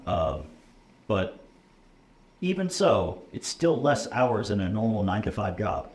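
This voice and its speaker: A man's voice: fairly low pitch, sing-song, unhurried, darkish tone. An American man in his thirties, a computer science instructor, talking casually in an interview with many ums and uhs and frequent "okay?" check-ins. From USA. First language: English. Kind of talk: relaxed conversational interview. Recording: roomy echo, faint room noise.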